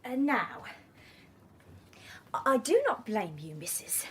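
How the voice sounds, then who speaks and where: A woman's voice: on the high side, big pitch swings, takes it slowly, fairly bright tone, slight background noise, exaggerated voice. An actress playing a prying, sanctimonious, self-pitying Victorian landlady in a radio drama, with prim, theatrical British speech and false sympathy. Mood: prim, disapproving.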